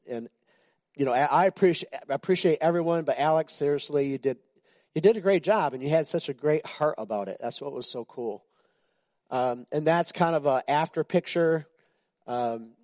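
60s pastor guy chatting thru a sermon, kinda high voice, cracks jokes, dry close sound. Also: mellow, no echo. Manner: chatty and joking, with frequent restarts. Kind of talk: informal sermon to a congregation. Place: church.

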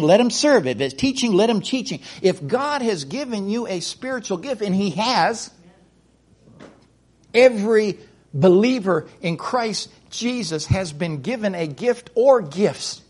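A man's voice: high voice, animated, unhurried, darkish tone, husky. A middle-aged man from the United States preaching a sermon in a folksy way, often repeating words and restarting sentences.

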